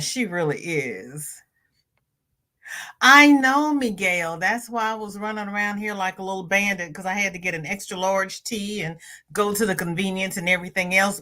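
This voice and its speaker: A woman's voice: expressive, normal speed. Stuffy-nosed woman chatting on a livestream. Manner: chatty, greets viewers by name with high bright lifts. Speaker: crochet channel host. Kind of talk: casual livestream chat, reading viewer comments.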